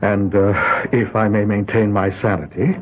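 An actor playing a stranded lawyer who keeps pressing with questions in a 1970s radio drama. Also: male voice, lowish voice, flat delivery, normal speed, a little hiss or hum, close to an everyday voice. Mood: probing. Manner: probing, insistent questioning. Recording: phone-like lo-fi old radio broadcast.

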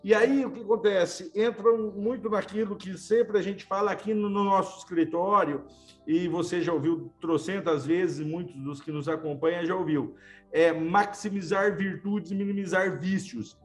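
A man speaks in a high voice, thinking aloud in short, restarting phrases. He is a lawyer in a relaxed conversation, and soft music plays faintly behind him. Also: normal speed. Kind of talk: casual conversation.

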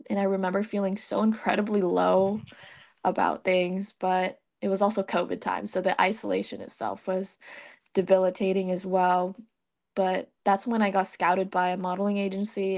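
A young woman from Vietnam, a model guest on a podcast, telling her story in an easy, relaxed way and filling pauses with ums and likes. Her voice sits at a middle pitch with a noticeable gravelly fry. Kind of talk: casual interview chat.

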